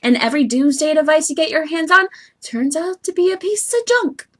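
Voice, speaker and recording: A woman voicing an earnest, bubbly young female troll heiress from the sea, with expressive scolding, in an amateur audition style. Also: high voice, a little fast. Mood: exasperated, concerned. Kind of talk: amateur animated-webcomic voice audition acting.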